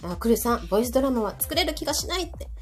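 A woman with a Kansai accent chatting casually as a podcast host, talkative and quick to react in a giggly way. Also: on the high side, sing-song. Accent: Kansai Japanese. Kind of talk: casual chat. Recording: background music under the voice.

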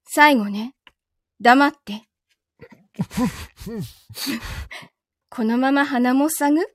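A woman's voice: sits high, drawn-out words, no room sound, clean studio sound. A woman playing a betrayed, possessive young lover in a live audio drama, with a Kansai Japanese accent and a controlled, coldly teasing manner. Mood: cold, commanding.

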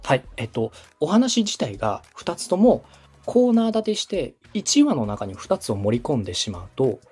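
A man's voice: medium pitch, big pitch swings, moderate pace, a little mellow, husky, faint music behind. A man giving structured, polite advice as a radio director coaching a podcaster, methodical and instructive. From Osaka, Japan. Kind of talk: structured advisory talk, polite explanation.

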